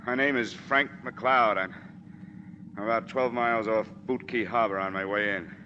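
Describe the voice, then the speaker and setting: A weary, stoic wounded man at a boat radio acted by a man. He speaks tersely in a lowish voice, in vintage radio drama style. The recording is muffled and clearly noisy. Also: fairly even intonation, moderate pace, lightly acted. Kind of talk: 1940s radio drama acting.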